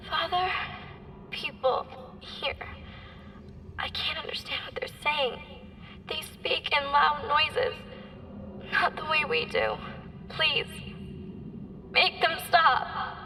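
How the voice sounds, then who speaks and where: A woman playing a frightened, pleading orphan girl who thinks aloud haltingly in a radio drama. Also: high-pitched, big pitch swings, bright, forceful tone, in a whisper, exaggerated voice. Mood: pleading, bewildered. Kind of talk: radio drama inner monologue. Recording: distant, noisy, otherworldly sounding track.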